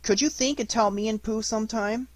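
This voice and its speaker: A man's voice: sits high, steady tone, brisk, dry recording, voice pushed up, lightly acted. A man voicing a kind, eager young boy in a storybook reading, with an affectionate, childlike manner. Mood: curious.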